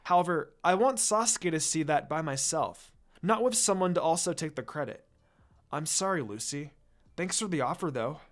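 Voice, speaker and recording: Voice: mid-range voice, lively intonation, normal speed, faint music behind, lightly acted. A narrator voicing a polite but stubborn young ninja girl in a story reading, earnest and a little whiny. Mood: apologetic. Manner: earnest, whining.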